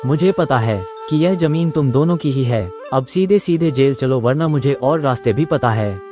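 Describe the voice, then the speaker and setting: A man's voice: mid-range voice, animated, normal speed, dark tone, faint music behind, natural voice. A man playing a stern, threatening policeman in a cartoon story, commanding and accusing.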